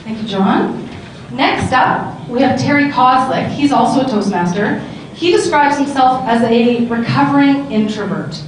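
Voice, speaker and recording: A woman's voice: fairly high pitch, measured pace, slight background noise. A woman in her thirties hosting a live panel discussion on a handheld mic, upbeat and talkative. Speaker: panel moderator. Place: large convention hall. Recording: echoey hall, camera from far off.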